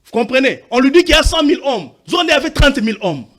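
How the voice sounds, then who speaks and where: A man's voice: very high voice, sing-song, fast, fairly bright tone. A man from Katanga arguing insistently in a heated TV debate, talking over the others and mixing French with Lingala. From Katanga, DR Congo. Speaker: debate panelist.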